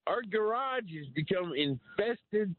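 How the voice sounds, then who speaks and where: A man's voice: high-pitched, expressive, slow pace. A man calling in to a radio show by phone, explaining himself to the host. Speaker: radio call-in listener. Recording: narrow dry phone line.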